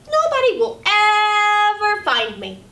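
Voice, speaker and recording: A young woman reading a picture book aloud as a children's storyteller, with animated, exaggerated delivery, in a small room at a distance from the mic. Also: extremely high pitch, takes it slowly. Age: young adult. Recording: roomy, distant camera mic, faint noise.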